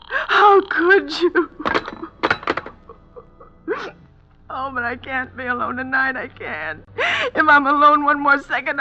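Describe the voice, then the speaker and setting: An actress playing a frantic, demanding invalid wife alone at night in a 1940s radio thriller, with nervous, imperious pleading. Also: female voice, high-pitched, sharp tone, a little hiss or hum, exaggerated voice. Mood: anguished. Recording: dull vintage 1940s radio recording.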